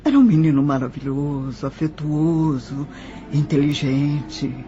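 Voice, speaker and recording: A man's voice: fairly high pitch, lightly acted. A man with a Brazilian accent playing a sorrowful nineteenth-century widow who recounts her life formally in an audio drama. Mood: reminiscing. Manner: slow, measured, formal recollection. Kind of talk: scripted audio drama monologue. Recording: slightly muffled, music underneath. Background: background music.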